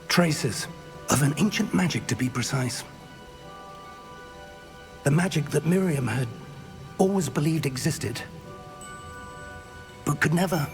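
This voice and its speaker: An actor playing a learned, earnest wizard professor in a video game, measured and scholarly in delivery. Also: male voice, on the high side, expressive, soft background music. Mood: awed curiosity. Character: learned, earnest older male wizard professor, curious and resolute. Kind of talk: dramatic video game acting.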